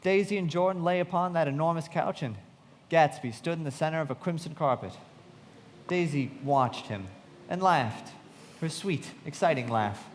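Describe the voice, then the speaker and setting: A man's voice: fairly high pitch, moderate pace, natural voice. An actor playing a reflective, observant young man narrating his memories with literary care in a live radio play. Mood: reflective, vivid. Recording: reverberant hall with faint noise.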